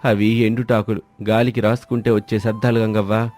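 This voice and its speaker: An actor playing a kind, stubborn village man who lives alone, speaking gently and reassuringly. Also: fairly low pitch, flat delivery, fairly quick, faint music behind, lightly acted.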